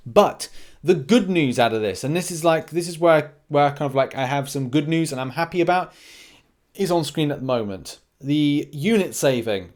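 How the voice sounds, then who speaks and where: A man's voice: a little high, a little mellow. A man hosting a gaming video, talking through game news in a casual, unscripted way, rambling and thinking aloud in short asides.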